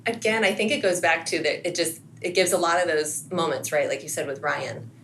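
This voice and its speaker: A woman's voice: on the low side, brisk, fairly bright tone. A middle-aged American woman, a podcast guest speaking in a casual, reflective conversational style. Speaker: podcast guest, school assistant principal. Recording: faint background noise.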